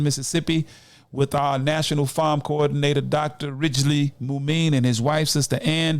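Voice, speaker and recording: A man's voice: on the high side, no echo. A man of nearly sixty, hosting a community podcast and addressing listeners in an exhortatory way. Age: late 50s, nearly 60. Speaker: podcast host. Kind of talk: community podcast talk with announcements and shout-outs.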